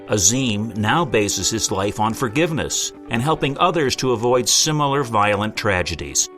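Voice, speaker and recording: A man with a low voice announces a podcast in a polished, scripted way. Clear guitar music plays behind him throughout. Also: fairly bright tone. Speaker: show announcer.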